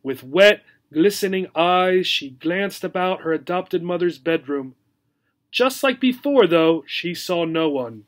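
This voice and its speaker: A man with a notably high voice reads a children's story aloud as a teacher. His telling is expressive and explanatory, pitched for young learners. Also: takes it slowly. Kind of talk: children's story read-aloud with simple recap.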